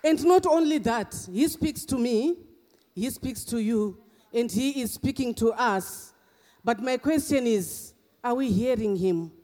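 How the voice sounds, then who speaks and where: Middle-aged Zimbabwean-accented man preaching, booming hall. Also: animated, measured pace, warm tone. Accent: Zimbabwean, mixed with English and Australian. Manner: emphatic, punctuated with frequent hallelujahs. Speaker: guest preacher. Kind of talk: sermon. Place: church. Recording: long church reverb.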